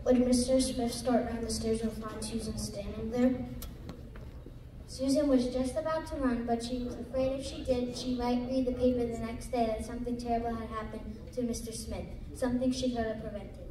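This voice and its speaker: A woman's voice: fairly high pitch, fairly even intonation, moderate pace, warm tone. A female student narrator reading a scripted story aloud in a reader's theater performance. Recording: echoey room, distant mic, background noise.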